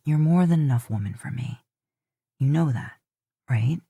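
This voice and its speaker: A woman doing a soft-voiced, teasing cowboy. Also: very deep voice, animated, moderate pace, vocal fry, slightly rough, no room sound, voice pushed down, heavily acted. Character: teasing, stubborn young cowboy husband.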